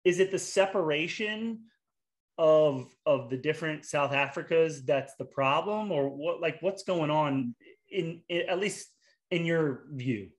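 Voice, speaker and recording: A man hosting a casual interview, animated and quick to jump in with questions. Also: fairly high pitch. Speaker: host.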